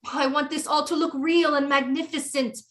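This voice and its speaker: A woman acting an imperious widowed queen mother in an audio play, in a fairly high voice. She is grand, precise and commanding, a schemer at the French court. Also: steady tone, normal speed, natural voice. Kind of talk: stage-style audio drama acting.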